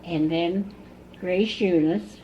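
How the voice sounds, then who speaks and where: A woman in her nineties from Indiana, recalling her family slowly in an oral history interview at home. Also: on the low side, steady tone, dark tone. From Indiana, USA. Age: 90s. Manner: chatty, recalls slowly with pauses. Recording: dull lo-fi 1980s cassette tape, faint hiss.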